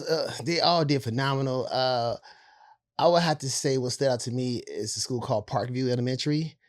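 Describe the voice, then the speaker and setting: A man with a hoarse, raspy voice from overuse, co-hosting a casual podcast chat in a laid-back, drawn-out way with lots of affirmations. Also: mid-range voice, dry recording, clean studio sound. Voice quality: husky from overuse. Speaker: co-host, theater director for schools.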